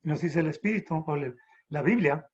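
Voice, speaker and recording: A middle-aged man from the Texas border, raised in San Antonio, teaching the Bible informally over a video call, halting with restarts and rhetorical questions. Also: a little high, breathy edge, highs cut off.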